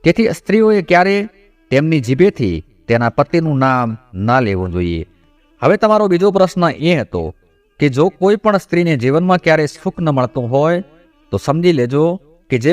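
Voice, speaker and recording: A man tells a devotional moral tale in a fairly high, very animated voice. He instructs as he narrates. Soft music plays behind him. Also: dry recording. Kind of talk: scripted storytelling narration. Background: background music.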